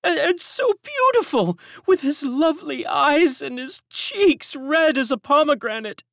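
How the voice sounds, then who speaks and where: A woman voicing a heartbroken servant woman who sobs as she speaks, in a very high voice. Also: sing-song, measured pace, no room sound, studio quality, heavily acted. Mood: sobbing. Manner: sobbing, very high and breathy. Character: sobbing young servant woman, tender and heartbroken.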